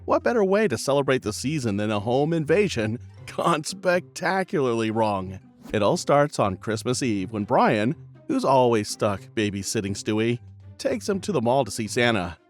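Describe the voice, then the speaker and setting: A man's voice: medium pitch, sing-song, moderate pace, soft background music. A man narrating a cartoon recap in a playful, chatty scripted style. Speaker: channel narrator.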